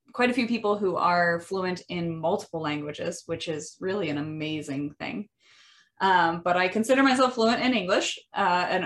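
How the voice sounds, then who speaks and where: A woman with a Midwestern American accent hosting an educational monologue, thoughtful and often pausing with ums and restarts. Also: fairly low pitch. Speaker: podcast host.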